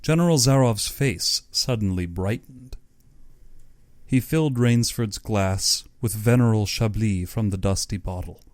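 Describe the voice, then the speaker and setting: A man's voice: lowish voice, a little slow, no echo. A man narrating an audiobook story in a dramatic, scripted reading style.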